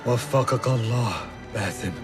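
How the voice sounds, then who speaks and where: An actor playing a badly wounded, loyal warrior in a video game, weak, halting and solemn. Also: male voice, mid-range voice, lightly acted. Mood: solemn conviction. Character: mortally wounded loyal warrior brother, principled and resigned. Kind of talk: cinematic video game acting. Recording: long hall reverb, music behind.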